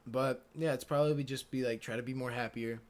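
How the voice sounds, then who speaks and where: A man's voice: mid-range voice, fairly even intonation, a little fast. A man in his late teens co-hosting a podcast, chatting casually in a rambling, slangy, repetitive way. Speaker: podcast co-host. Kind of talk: casual chat.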